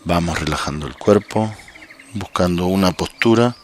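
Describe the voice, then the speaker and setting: A man leading a guided meditation as a coach, speaking calmly and without hurry, with long pauses between short instructions. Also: low-pitched, faint music behind. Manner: calm, unhurried, leaves long pauses.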